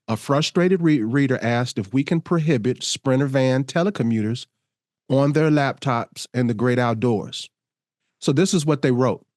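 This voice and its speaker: A man's voice: medium pitch, darkish tone, no echo, studio quality. A man co-hosting a casual podcast, a little congested, laughing often and reading an article aloud. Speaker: podcast co-host. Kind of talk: casual podcast chat over video call.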